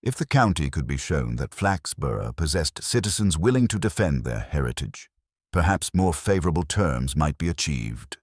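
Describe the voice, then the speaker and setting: A man acts out a small-town mayor in an audio mystery, torn and guarded. The voice is very low and formal, choosing each diplomatic phrase with care. It sounds dry and close. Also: very animated, moderate pace, dry recording, full character voice. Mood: careful. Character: conflicted male small-town mayor, careful and defensive.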